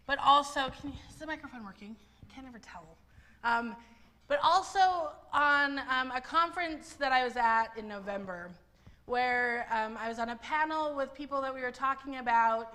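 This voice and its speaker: A woman in her thirties, a university writing instructor, giving a chatty talk full of "um"s and story asides, speaking loudly into a clip-on mic. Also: fairly high pitch. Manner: loud, chatty storyteller with many ums. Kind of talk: conference presentation with anecdotes. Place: library presentation room. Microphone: clip-on mic on her skirt.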